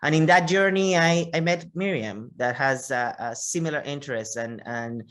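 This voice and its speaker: A man's voice: fairly high pitch, moderate pace, fairly bright tone. A man with a Panamanian Spanish accent giving long, conversational interview answers, often repeating words as he goes. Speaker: interview guest, physician.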